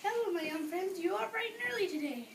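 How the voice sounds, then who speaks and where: A woman's voice: very high voice, animated, fast. A young woman playing a friendly, dreamy man in an amateur readers theater play. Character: friendly, dreamy man who savors bakery smells. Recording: echoey noisy room, distant microphone.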